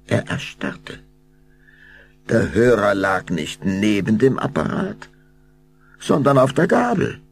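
An actor narrating a spooky children's tale with scripted, suspenseful delivery. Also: male voice, fairly low pitch, very animated, slow, gravelly, pauses often, slight background noise, full character voice.

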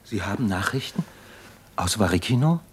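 Anxious doctor, low and husky. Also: male voice, expressive, moderate pace. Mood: guarded, terse. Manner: terse, urgent questioning. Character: anxious, weary male doctor held among partisans, impatient. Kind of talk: 1950s German radio drama acting.

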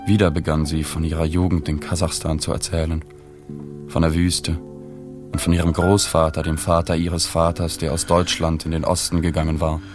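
A reflective male journalist narrating a German radio play in a deep voice. He is reserved and sober, telling it in measured, understated phrases over clearly audible music. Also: little pitch movement. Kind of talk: radio drama first-person narration.

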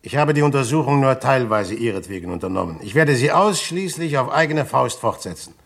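A mid-pitched male voice with formal courtesy. a smart gentleman detective in old radio crime drama, composed and sure of himself, with carefully polite phrasing. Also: lively intonation, fairly quick, gravelly. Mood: polite, firm. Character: courteous, self-assured gentleman amateur detective. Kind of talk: early 1960s radio drama acting.

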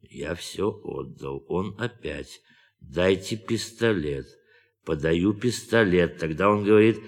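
A brooding spaceman gone killer, real low and slow, measured, big echoey reverb, radio play. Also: exaggerated voice. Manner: measured, deliberate, long pauses. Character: Spender, brooding idealistic astronaut turned calm killer. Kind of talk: Russian audio drama acting.

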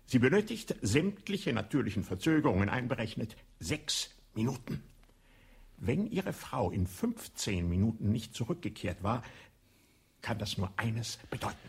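An actor playing a shrewd police inspector in a radio play, with a husky voice, probing and ironic. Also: male voice, mid-range voice, big pitch swings, moderate pace, exaggerated voice.

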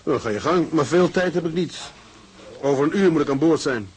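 An actor playing an earnest, determined young ship's mate in a radio drama, speaking plainly and hesitantly in a very husky, breathy voice. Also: male voice, on the high side, expressive, brisk, natural voice. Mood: guarded. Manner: hesitant, intimate, plain-spoken.

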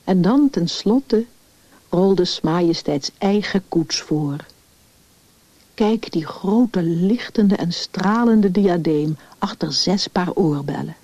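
A woman's voice: fairly low pitch, expressive, slow, darkish tone, dry recording. A woman narrating a scripted literary story as its narrator. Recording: dull, lo-fi radio recording.

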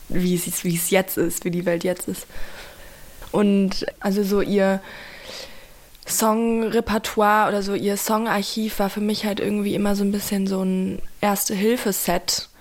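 A woman's voice: mid-range voice, level tone, unhurried. A woman of about twenty, talking casually and searchingly in an interview, with lots of fillers. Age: around 20. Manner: gushing, loose and searching, heavy with fillers. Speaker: interviewee, young fan.

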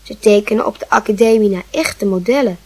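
An actress playing an earnest schoolboy with artistic ambitions in a radio play. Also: female voice, mid-range voice, a little fast, slightly rough. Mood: earnest, hopeful. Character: earnest schoolboy Anton, eager to draw nudes.

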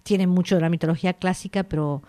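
A woman author from Argentina, talking in a relaxed interview in a thoughtful, digressive way, often pausing to search for words. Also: lowish voice.

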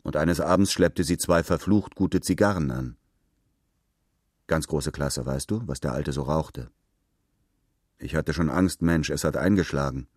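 A man acts out a boastful young womanizer in a radio play. His voice is low and his talk is slangy and cocky. The sound is dry and close. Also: a little slow, pauses often, darkish tone, no echo. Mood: bragging, casual. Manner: colloquial, bragging.

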